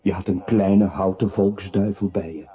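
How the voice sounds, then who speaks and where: An actor playing a scornful narrator in a radio drama, sardonic and theatrical, with a very raspy voice. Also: male voice, low-pitched, fairly even intonation, moderate pace, close to an everyday voice. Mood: mocking. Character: mocking, scornful male narrator belittling a pitiful man. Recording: muffled phone-like old radio recording.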